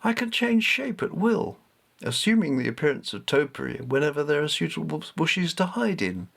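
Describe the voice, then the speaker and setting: Man as storybook cat goddess, female, raised pitch, imperious, formal, condescending, self-assured. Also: sing-song, moderate pace, gravelly, a bit bright, heavily acted. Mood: haughty, reassuring.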